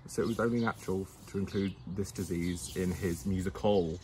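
A man's voice: deep voice, steady tone, fairly quick, warm tone, a little hiss or hum. A young man co-hosting a casual outdoor chat, reading from notes with stumbles and restarts, teasing his co-host. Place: outdoors in the sun.

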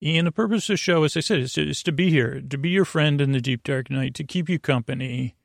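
A man hosting a sleep podcast, rambling through a goofy, whimsical monologue in a halting way full of stammers and self-corrections. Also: a little high, vocal fry, dry recording, clean studio sound. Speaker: podcast host. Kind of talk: rambling bedtime monologue to help listeners sleep.

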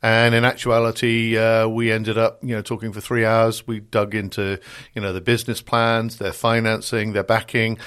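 Middle aged british guy on a podcast, lowish voice, pauses a lot as he explains. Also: flat delivery, a bit bright, clear, resonant tone. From Britain. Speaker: podcast guest, security strategist. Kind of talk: conversational interview answers.

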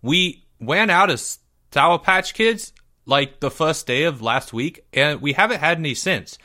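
A man's voice: fairly high pitch, animated, a little slow, sharp tone, voice pushed up. A man voicing an earnest schoolboy club officer who speaks in an insistent, childishly formal way. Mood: earnest, complaining. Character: earnest, complaining schoolboy deputy marshal with motions.

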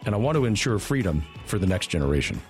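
A man's voice: on the low side, sing-song, fast, a little mellow, soft background music. A man reading scripted promotional narration for his podcast.

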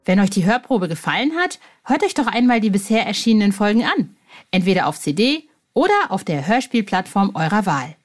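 A woman's voice: medium pitch, sing-song, fairly quick. A woman reading an advertisement in a friendly voice, addressing listeners directly. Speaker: ad announcer. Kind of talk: read advertisement.

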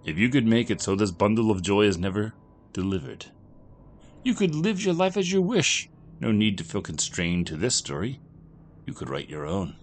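A man's voice: lowish voice, big pitch swings, normal speed, a little hiss or hum, pitched above the performer's own voice. A man voicing a sinister, formally polite stranger who offers devilish bargains in a story reading. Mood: coaxing. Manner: formal, smooth-talking, taunting. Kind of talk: audiobook character acting.

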